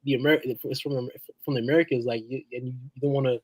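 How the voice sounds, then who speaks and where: A man's voice: medium pitch, fairly even intonation, fast talker, breathy edge, no echo, poor audio. A man who grew up in Australia, chatting casually on a podcast panel, stumbling and repeating words as he thinks. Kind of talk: casual chat.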